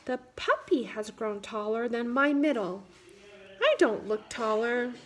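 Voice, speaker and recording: A woman voices a little boy from a picture book, high-pitched and earnest. He is curious and childlike, wondering and declaring things about growing up. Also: animated, a little slow.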